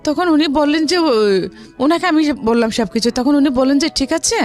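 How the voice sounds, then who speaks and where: A woman's voice: high voice, normal speed, darkish tone, faint music behind. A woman with a Bangladeshi accent, a guest recounting her own life story in conversation.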